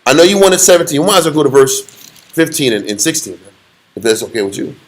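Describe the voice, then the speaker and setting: A man with a fairly high voice leads a Bible study, loose and slangy. He jokes and speaks with blunt emphasis. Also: sing-song, fast talker. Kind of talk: casual Bible teaching.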